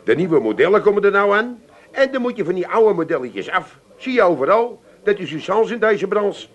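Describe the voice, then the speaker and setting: Slick used-car salesman, wildly animated. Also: high voice, full character voice. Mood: smooth selling. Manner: fast-talking sales patter, brazenly glib. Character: slick, pushy male used-car salesman, shamelessly upbeat. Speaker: actor in radio play. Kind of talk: 1980s comic radio play acting.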